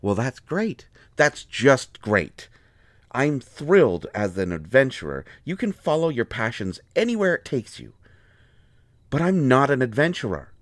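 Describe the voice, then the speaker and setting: A man playing an indignant, hurt healer mage in a fantasy roleplay audio, expressive, sarcastic and exasperated. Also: mid-range voice, measured pace, dry recording. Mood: sarcastic, frustrated. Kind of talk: dramatic roleplay audio acting.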